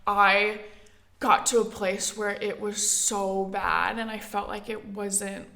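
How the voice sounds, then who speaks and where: A woman's voice: mid-range voice, level tone, slow pace, creaky, a bit bright. A woman hosting an interview, asking curious questions in a chatty, friendly way. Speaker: podcast host. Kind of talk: casual interview conversation.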